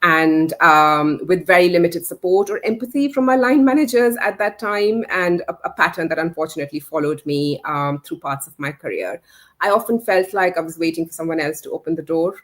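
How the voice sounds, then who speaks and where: Low-voiced woman, halting and reflective. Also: expressive. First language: not English. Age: 40s. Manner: halting, many fillers and restarts. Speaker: interview guest, communications leader. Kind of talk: reflective career story in a live chat.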